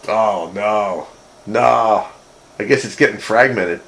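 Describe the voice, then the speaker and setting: A man's voice: lowish voice, takes it slowly, creaky, background noise. A man in casual technical chat, musing aloud in a hesitant way and often rephrasing himself. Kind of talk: casual technical chat about vintage radio gear.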